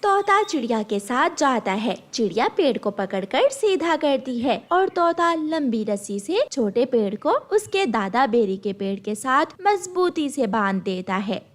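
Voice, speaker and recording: Female narrator for children's animated tales, high voice, very lively intonation. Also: measured pace, hardly any pauses. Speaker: storyteller, narrator. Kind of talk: scripted children's story narration.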